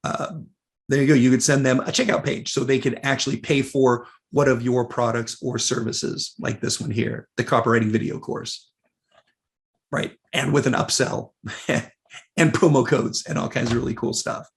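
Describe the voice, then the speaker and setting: Male webinar presenter, mid pitch, raspy, gravelly, voice fading. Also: steady tone, moderate pace. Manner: casual, many fillers, reads out viewer questions. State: losing his voice, clearing throat. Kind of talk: live software webinar walkthrough.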